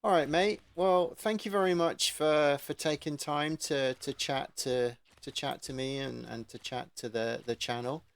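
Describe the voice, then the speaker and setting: A man's voice: fairly high pitch, steady tone, unhurried, gravelly, hardly any pauses, no echo. A man hosting a gaming channel, chatting casually with a guest in an informal interview.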